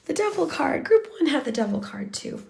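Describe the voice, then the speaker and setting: A woman giving a chatty tarot reading straight to her viewers while losing her voice, sounding hoarse and sometimes sinking to a whisper. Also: high-pitched, very animated, fairly quick, darkish tone, slight background noise. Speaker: tarot reader. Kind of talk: pick-a-card tarot reading to camera.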